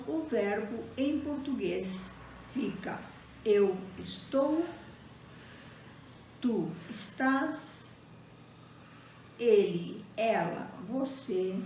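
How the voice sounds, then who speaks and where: A woman teaching a slow, deliberate grammar lesson, reading out example sentences and setting Portuguese beside Spanish. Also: medium pitch, warm tone, far from the mic. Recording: very echoey room, voice set back from the mic, faint noise.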